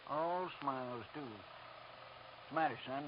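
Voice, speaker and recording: An actor playing a hearty, boastful seafaring salvage boss in an old radio drama, talking like a sailor. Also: male voice, mid-range voice, slow, close to an everyday voice. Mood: hearty, proud. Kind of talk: 1940s radio acting. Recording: muffled, noisy old radio recording.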